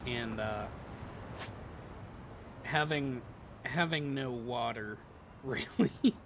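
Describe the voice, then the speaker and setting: A man with a hoarse, gravelly voice from a sore throat, rambling casually while he plays a video game and clears his throat. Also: mid-range voice, very slow, background noise. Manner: rambling, chuckling asides, frequent throat clearing. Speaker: streamer playing a video game. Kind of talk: casual let's-play commentary.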